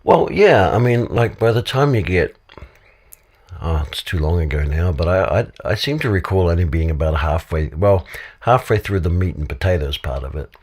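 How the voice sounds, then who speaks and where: A man with a cold and a very deep, husky voice, talking in a rambling way with many fillers in a casual discussion. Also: animated, moderate pace, a little mellow. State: has a cold. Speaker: podcast guest. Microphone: studio microphone.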